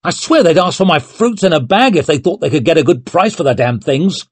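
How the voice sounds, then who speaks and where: A man's voice: mid-range voice, huge pitch swings, slow pace, husky, no room sound, heavily acted. A man voicing a proud, hot-headed young lord in an audiobook, snappish and blustering. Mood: outraged.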